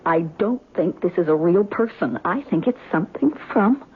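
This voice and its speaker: A woman's voice: fairly low pitch, expressive, normal speed, husky. A woman acting a frightened wife who is convinced of supernatural danger, in a 1970s radio drama. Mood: alarmed. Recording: muffled, faintly noisy 1970s radio broadcast.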